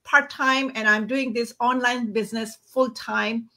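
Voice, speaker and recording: A woman's voice: a little high, fairly even intonation, unhurried, sharp tone. A woman from India with an Indian accent, hosting a casual livestream and making introductions. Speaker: host of an online business show.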